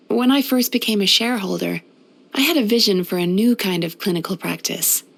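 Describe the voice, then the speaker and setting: A woman voicing a gentle, caring, centuries-old dream-eating spirit who works as a therapist in a video game, speaking in a soothing, polite way. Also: mid-range voice, gravelly. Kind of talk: video game character voice lines.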